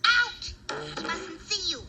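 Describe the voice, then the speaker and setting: Piercingly high, lovelorn cartoon maiden. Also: female voice, takes it slowly, over music. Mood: impatient. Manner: gushing, impatient, theatrical. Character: eager, lovelorn, bossy maiden beauty. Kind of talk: vintage cartoon voice acting. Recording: old cartoon soundtrack, light noise.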